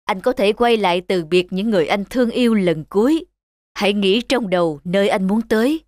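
A man voicing a calm spirit of death in a cartoon, speaking in a measured, philosophical, instructive way. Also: high voice, no echo, studio quality. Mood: calm, guiding. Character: calm male spirit of death, solemn guide to the dead. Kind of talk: cartoon voice acting.

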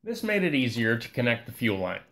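A man giving a calm, scripted voice-over that walks through a repair step by step. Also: mid-range voice, fairly quick. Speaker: repair channel host.

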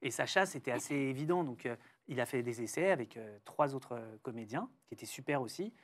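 A man's voice: mid-range voice, brisk. A man who works as a dubbing director, talking in casual chat on a studio set with a reflective, explanatory manner. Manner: reflective, explanatory, animated when quoting himself.

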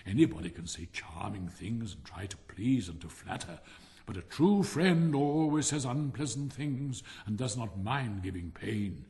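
A man's voice: on the low side, lively intonation, gravelly, dark tone. A man voicing a pompous, bossy miller in a storybook, a self-satisfied false friend who preaches emphatically, with long reverb around him. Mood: pompous scolding. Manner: emphatic, preachy, drawn-out delivery. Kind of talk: storybook character voice. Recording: long reverberant hall sound.

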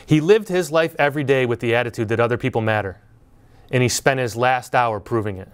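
A middle-aged man giving a prepared graduation speech from a podium, telling a story in a measured, earnest way. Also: mid-range voice, smooth. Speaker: school speaker at graduation. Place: indoor studio before green backdrop.

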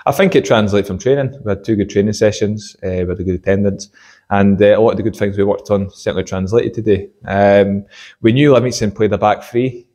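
A young man, an assistant football manager, giving a measured post-match interview with many fillers, in a stadium corridor. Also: on the low side, fairly even intonation, mellow. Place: corridor by a sports changing room.

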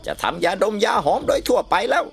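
A woman's voice: quick pace, faint music behind, natural voice. A woman voicing a cranky old village grandmother in a cartoon comedy, brash, blunt and scolding. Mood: grumbling, opinionated. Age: older.

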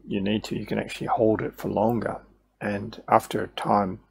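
A man's voice: on the low side, vocal fry, breathy edge. A middle-aged man talking to his webcam through a headset mic about his own recovery, candid and thinking aloud with frequent restarts. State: voice still recovering after losing it. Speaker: video creator sharing his recovery. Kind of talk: casual talk to camera over slides.

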